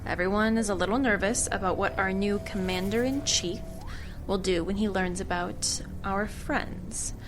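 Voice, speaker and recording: A woman's voice: mid-range voice, moderate pace, over music, close to an everyday voice. A woman playing a cool, shrewd government agent in an audio drama, with a controlled, sardonic, evasive manner. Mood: matter-of-fact, persuasive. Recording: clear ambient noise behind dialogue.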